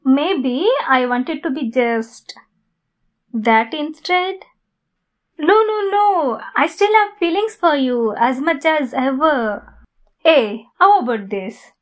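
Woman acting a high-voiced, flustered demon girlfriend. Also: animated. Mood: tender. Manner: halting, flustered, intimate delivery. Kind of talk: ASMR roleplay.

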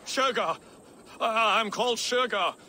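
A man's voice: sits high, measured pace, sharp tone, slight background noise, heavily acted. An actor playing a terrified, cowardly middle-aged man in a video game, pleading and stammering. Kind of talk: video game cutscene acting.

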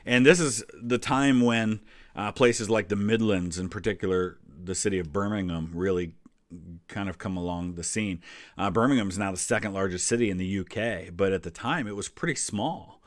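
Low-pitched American man explaining, dry close sound. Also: normal speed, dry recording. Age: middle-aged. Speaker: host reacting to a history quiz video. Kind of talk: casual explanatory commentary.

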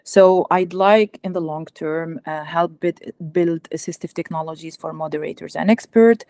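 A young woman whose first language is Algerian Arabic presents research from home over a video call. Her voice sits a bit low and she talks with frequent fillers and restarts. The webcam sound is dry and close. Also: normal speed, warm tone, no room sound. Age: young adult. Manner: hesitant, many fillers and restarts. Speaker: guest speaker presenting research. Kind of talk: academic talk with slides. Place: home room, indoors.

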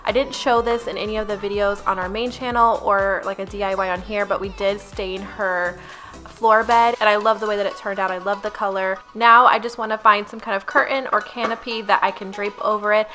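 A woman's voice: mid-range voice, steady tone, fairly quick, bright, forceful tone, faint music behind. A young woman talking casually over her cleaning footage, chatty and upbeat, speaking straight to her viewers. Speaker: lifestyle vlogger.

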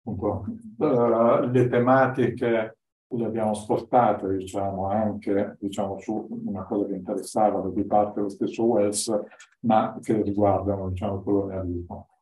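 A man's voice: lowish voice, little pitch movement, unhurried, dark tone. An older man gives an informal lecture, explaining in hesitant phrases, recorded from across a room. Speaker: guest lecturer. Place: indoor classroom with whiteboard. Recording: roomy, distant microphone.

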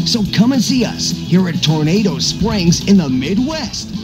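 High, lively tourism announcer. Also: male voice, unhurried. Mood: upbeat inviting. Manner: folksy, enthusiastic salesmanship. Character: upbeat folksy American countryside tourism announcer. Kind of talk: retro holiday radio commercial. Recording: loud music behind the voice.

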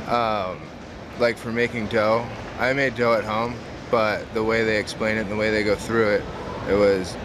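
A man in his thirties, a pizza business owner, answering interview questions casually with many "um" fillers, recorded close up at a trade show. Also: mid-range voice. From San Diego, California. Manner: relaxed, frequent "um" fillers, earnest. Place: large indoor trade show hall. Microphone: camera close to face. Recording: noisy expo hall background.

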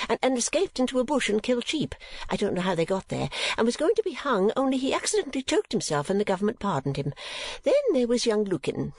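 Fussy, high-swooping vain mother. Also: no room sound. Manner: garrulous, affected, digressive. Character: fussy, vain, rambling middle-aged mother, self-satisfied gentlewoman.

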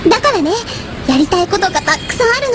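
An actress playing a sweet, excitable, playful teenage girl in love in an audio drama. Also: very high-pitched, quick pace, noisy background. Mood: giddy. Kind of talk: Japanese drama CD acting.

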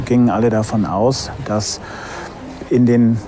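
A man's voice: mid-range voice, steady tone, a little slow, over music. A man explaining as an expert in an unscripted interview. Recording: faint background ambience noise.

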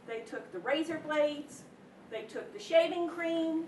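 A woman's voice: high voice, unhurried, darkish tone. A woman playing a frantic, exasperated wife in a stage comedy, agitated and emphatic, repeating her phrases. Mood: upset, stubborn. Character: frantic, exasperated wife whose home was burgled. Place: echoey room, far from mic. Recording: echoey room, distant mic, background noise.

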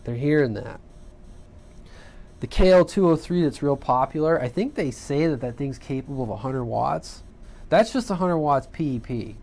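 A man's voice: on the high side, lively intonation, moderate pace, gravelly, darkish tone. A man giving an unscripted, casual hobby radio tutorial in a plain-spoken, colloquial way, often checking in with the listener. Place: indoors at a home workbench.

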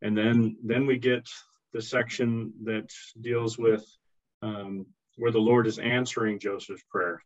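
A middle-aged man as heard in an online history seminar through a slightly dull connection, laying out details in a measured, pausing way. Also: lowish voice, level tone. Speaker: historian guest. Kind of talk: explanatory historical discussion. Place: indoor office with bookshelves. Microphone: video call computer mic. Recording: dull lo-fi video call audio.

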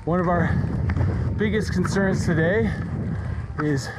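A man's voice: high-pitched, slow. A middle-aged man vlogging as he climbs a snowy mountain, with dry, self-mocking humor and a slightly breathless voice, filmed on a handheld action camera. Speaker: ski vlogger. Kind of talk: vlog narration while climbing. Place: windy snowy mountainside outdoors. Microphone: action camera held at arm's length. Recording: loud wind noise on an outdoor camera mic.

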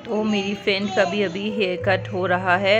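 A woman's voice: medium pitch, little pitch movement, normal speed, highs cut off, music in the background. A woman in her thirties giving a chatty, enthusiastic vlog voice-over, mixing in English phrases. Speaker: vlogger. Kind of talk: casual vlog narration, Hindi mixed with English.

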